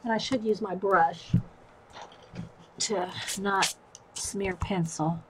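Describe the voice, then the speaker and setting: A woman's voice: medium pitch, steady tone, slow pace, background noise. A middle-aged woman, chatting casually with viewers while she draws, laughing often. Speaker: artist livestreamer. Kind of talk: casual chat while drawing.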